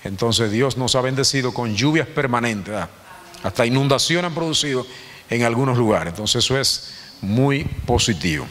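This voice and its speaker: A Dominican man in his fifties teaches a Sunday congregation in a loose, conversational way, tossing in jokes and checking in with his listeners. His voice sits at an ordinary middle pitch. It rings out with a long reverb, as in a large hall. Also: animated, normal speed, a bit bright. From Dominican Republic. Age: 50s. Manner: conversational, joking, asks the congregation "¿verdad?". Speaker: church teacher. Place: church hall.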